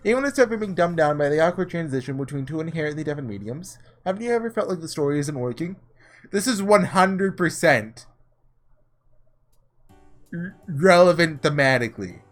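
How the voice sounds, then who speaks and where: Animated, higher-pitched young-sounding man over music. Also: a little fast. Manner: animated, excitable, breaks off into asides. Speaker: gaming video creator doing a blind playthrough. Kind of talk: casual let's play, reading story text aloud with commentary. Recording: music behind the voice. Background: game music.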